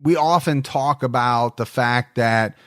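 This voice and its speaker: A man hosting a true-crime podcast, talking in an earnest, reflective way. His voice sits at a middle pitch. The sound is dry and close, with no room echo. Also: measured pace, a bit bright. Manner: earnest, reflective, repeats words when stressing a point. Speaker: podcast host.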